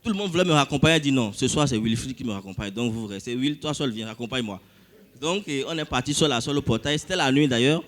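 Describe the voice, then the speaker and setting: A man with a West African French accent recounts a healing story during a church service. He tells it animatedly and pauses on fillers often. His voice sits at an ordinary middle pitch. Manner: animated storytelling with frequent hesitations. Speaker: church member giving testimony. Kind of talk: testimony in church.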